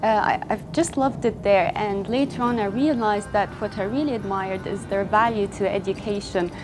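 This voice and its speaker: A woman's voice: mid-range voice, fairly even intonation, moderate pace, rough recording. Earnest young woman, faint music behind. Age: young adult. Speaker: fashion brand founder. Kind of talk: interview monologue.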